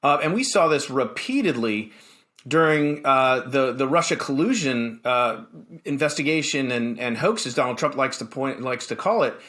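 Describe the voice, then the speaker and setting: An American man hosting a political talk show, giving conversational commentary in a halting, emphatic way that often repeats words. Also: medium pitch, animated, fairly bright tone.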